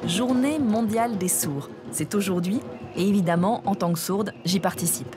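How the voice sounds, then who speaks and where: A woman reporter narrating and interviewing people at an outdoor event, calm and clear. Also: mid-range voice, moderate pace, over music. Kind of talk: documentary narration and street interviews.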